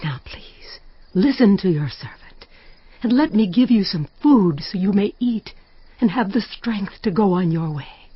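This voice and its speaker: A woman playing a wary, fearful spirit medium in an audio Bible drama, with a dramatic, drawn-out, breathy delivery. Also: mid-range voice, lively intonation. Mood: pleading. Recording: muffled dramatized recording under clear music.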